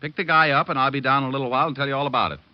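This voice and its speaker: An actor playing a cocky, flippant private detective with dry wit in old radio drama style. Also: male voice, mid-range voice, steady tone, very fast, no room sound, close to an everyday voice. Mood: brisk, confident. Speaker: lead actor and narrator. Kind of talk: 1940s-50s radio detective acting. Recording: dull vintage radio recording, faint music.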